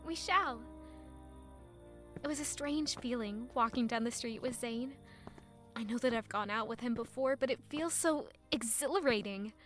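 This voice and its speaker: An actress as a high-voiced romantic young heroine. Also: female voice, normal speed, a bit bright, natural voice. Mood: playful, excited. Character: romantic, curious young woman courting a prince. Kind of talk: audio drama narration and dialogue. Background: faint music.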